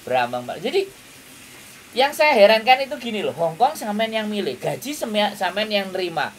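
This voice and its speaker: A man's voice: high-pitched, big pitch swings, normal speed. A man with a Javanese accent giving advice in an emphatic, lecturing chat, often checking with rhetorical questions. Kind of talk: casual counselling chat. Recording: distant mic, noisy kitchen with frying.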